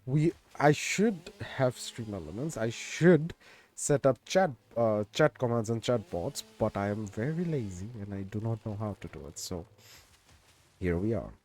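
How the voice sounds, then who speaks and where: A man streaming a game, chatting in a laid-back, rambling way with lots of fillers, his voice a bit raspy. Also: lowish voice, expressive, a little slow, a little mellow. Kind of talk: casual game stream chat.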